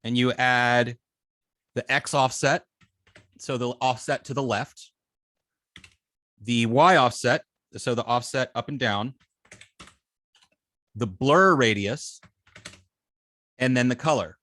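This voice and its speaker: A man working as an instructor, explaining a live coding demonstration step by step in an informal, halting way, thinking aloud as he works. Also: mid-range voice, bright, forceful tone, no echo. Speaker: web development instructor.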